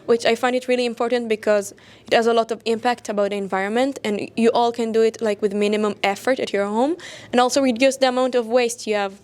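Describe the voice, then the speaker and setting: Young woman, slightly high clear voice, lots of "like" and "uh". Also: steady tone, fast talker, darkish tone. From Israel. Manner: many fillers, "like" and restarts. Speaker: design graduate student, hackathon winner. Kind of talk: interview answers.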